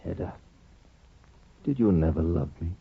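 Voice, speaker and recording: Brooding, deep-voiced wounded lover. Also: male voice, animated, creaky, slightly rough, no echo, exaggerated voice. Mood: reproachful, pleading. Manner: impassioned, brooding, halting. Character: intense, wounded former lover, a brooding gentleman. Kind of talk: 1950s radio drama acting. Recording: muffled old radio broadcast.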